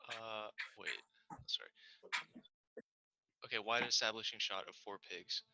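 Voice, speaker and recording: A man's voice: lowish voice, steady tone, measured pace, sharp tone, dry recording. A man student reading short camera directions aloud at a table read over a lo-fi call microphone.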